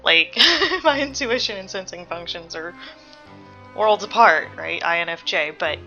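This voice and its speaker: Casting: female reaction host, bright edge, analytical, sweary, casual, slightly dull lo-fi recording, faint music. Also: on the low side, expressive, a little slow. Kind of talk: casual game reaction commentary. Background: faint game music.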